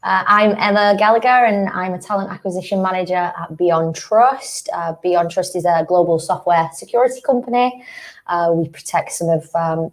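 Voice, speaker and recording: A woman speaking as a panel guest, measured and explanatory. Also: lowish voice. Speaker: panel guest, talent acquisition manager. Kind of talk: panel discussion.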